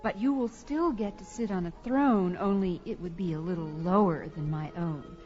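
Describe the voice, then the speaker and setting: A woman's voice: lowish voice, moderate pace, a little mellow, close to an everyday voice. An actress playing a young desert queen in an audio fantasy drama, regal, coy and airily haughty. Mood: airily condescending. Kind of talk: audio sword-and-sorcery drama acting. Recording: dull lo-fi audio, faint music.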